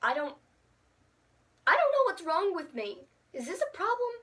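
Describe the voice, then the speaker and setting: A woman's voice: very high-pitched, sing-song, moderate pace, a bit bright. A teenage girl telling funny stories to her vlog audience in a chatty, dramatic, self-mocking way. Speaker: vlogger. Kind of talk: casual storytime vlog.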